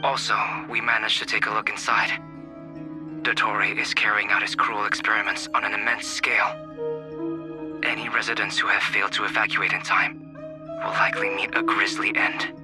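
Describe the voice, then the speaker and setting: An actor playing a composed, analytical young male researcher in a game, speaking in a measured, precise, serious way. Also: lowish voice, flat delivery, over music, lightly acted. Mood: polite, serious. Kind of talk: dramatic game voice acting.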